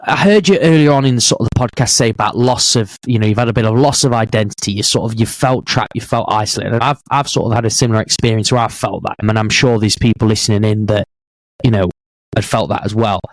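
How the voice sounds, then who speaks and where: A man hosting a podcast, asking long questions and affirming his guest warmly. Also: on the low side, fast talker, no echo. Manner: encouraging, affirming interviewer. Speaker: podcast host. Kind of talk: casual interview chat.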